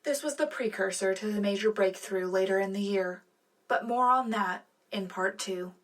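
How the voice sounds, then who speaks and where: A woman's voice: mid-range voice, moderate pace, close to an everyday voice. Woman as polished propaganda radio co-anchor. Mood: prim, upbeat. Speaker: radio co-host. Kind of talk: scripted satirical news broadcast.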